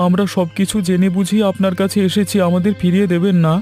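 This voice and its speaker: A man's voice: sits high, flat delivery, fast talker, mellow, faint music behind, lightly acted. A man playing an earnest, uneasy young visitor to a haunted village in a horror cartoon. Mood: earnest, pleading. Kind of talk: scripted Bengali horror cartoon acting.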